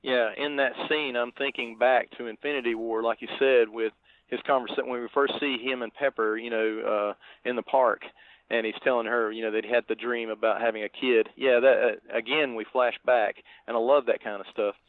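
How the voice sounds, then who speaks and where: Phone-like dry audio, man, podcast co-host, mid-pitched, casual, halting, reflective. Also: steady tone, no echo. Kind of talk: casual movie review chat. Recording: thin phone-like line, dry.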